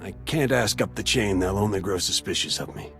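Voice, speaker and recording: An actor playing a zealous military captain and conspirator in a sci-fi video game cutscene. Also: male voice, sits low, animated, brisk, fairly bright tone, soft background music. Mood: shaken, pleading. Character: male military captain, zealous conspirator, rattled under threat.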